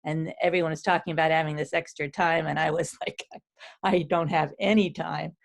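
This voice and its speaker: Chatty woman with a lowish voice; casual video-call chat. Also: fairly even intonation, normal speed, gravelly. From central Pennsylvania. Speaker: poet and university teacher.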